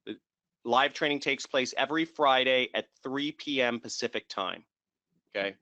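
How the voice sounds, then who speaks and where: Brisk, bright-voiced man. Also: medium pitch, no room sound. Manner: brisk, assertive, interrupts to wrap up. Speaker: course host answering student questions. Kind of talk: live webinar Q&A.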